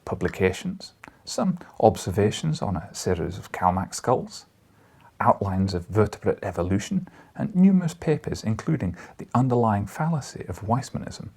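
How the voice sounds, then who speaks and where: A brusque older newspaper editor, acted out by a male audiobook reader, barks curtly and emphatically. The voice is very husky and swings widely in pitch. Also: very animated, moderate pace, darkish tone, heavily acted. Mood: brisk, dry.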